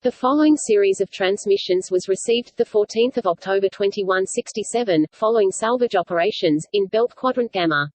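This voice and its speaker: A woman's voice: mid-range voice, brisk, few pauses, a little mellow, no echo, close to an everyday voice. Actress as impersonal female archive computer for sci-fi drama. Official, detached, mid-range, very dry recording. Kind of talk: scripted sci-fi announcement.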